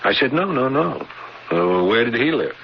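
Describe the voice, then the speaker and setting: An actor in a radio drama playing a haunted man who recounts a frightening boyhood memory in halting, stammering phrases, on a noisy vintage broadcast. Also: mid-range voice, sing-song, muffled recording. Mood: uneasy, halting. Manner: halting, stammering, repeating words.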